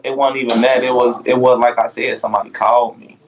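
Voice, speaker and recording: A man's voice: medium pitch, little pitch movement, fast, dull audio, a little hiss or hum. A man who is a podcast guest, telling a long casual story in a rambling, profane way and asking "you feel me" again and again.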